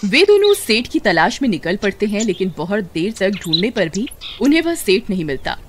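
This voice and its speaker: A woman's voice: mid-range voice, big pitch swings, a little fast, noisy background. A woman giving scripted narration for a cartoon folk tale.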